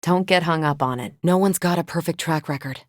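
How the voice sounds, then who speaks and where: A woman's voice: deep voice, brisk, no echo, natural voice. A woman voicing a confident, wry superheroine soldier in a video game, with a dry, assured manner. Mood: wry, conversational.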